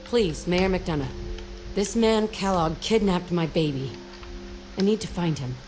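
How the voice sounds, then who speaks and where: A woman's voice: fairly low pitch, measured pace, darkish tone, soft background music, lightly acted. A woman playing a determined mother searching for her child in a video game, earnest and plain-spoken. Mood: pleading, upset.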